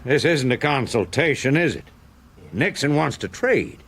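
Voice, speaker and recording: Placating American space manager, animated pitch. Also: male voice, a little slow, breathy edge, exaggerated voice. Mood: uneasy, measured. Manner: placating, hesitant, earnest. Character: anxious, mediating male American space programme manager. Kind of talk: radio drama acting.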